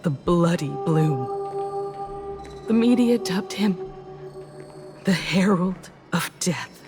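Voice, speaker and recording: A woman voicing a composed, pensive adult character in a fantasy game, speaking in a measured, solemn, reflective way. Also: lowish voice, animated, music in the background. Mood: grave, sorrowful. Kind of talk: video game dramatic dialogue.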